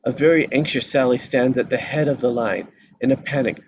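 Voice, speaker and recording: A man's voice: mid-range voice, brisk. A man reading stage directions as scripted narration at a live table read. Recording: faint background noise.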